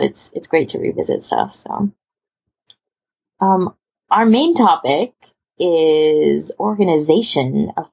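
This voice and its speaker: Low, gravelly woman hosting casually. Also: a little mellow, muffled recording. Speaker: podcast host. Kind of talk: casual panel chat.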